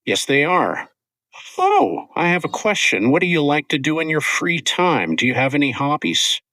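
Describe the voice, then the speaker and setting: A man co-hosting an English-learning podcast, speaking in clear, simple scripted sentences. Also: a little high, huge pitch swings, moderate pace, sharp tone, dry recording. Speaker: podcast co-host.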